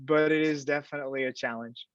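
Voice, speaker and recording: Animated man telling fight stories. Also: fairly high pitch, quick pace, dry recording. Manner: animated storyteller, fillers like "right?". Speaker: podcast guest, fight commentator. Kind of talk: casual interview chat. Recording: dull lo-fi sound.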